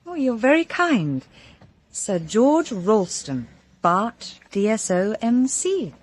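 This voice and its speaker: An actress playing a polite young English private detective in a radio drama, dryly witty. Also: female voice, a little high, sing-song, slow, breathy edge, full character voice. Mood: polite, wry.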